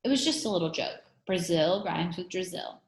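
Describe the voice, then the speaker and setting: A woman's voice: fairly low pitch, expressive, moderate pace, gravelly. A woman voicing a friendly teacher in her sixties, patient and explanatory. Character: friendly 66-year-old woman teacher, patient, mildly jokey. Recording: echoey room.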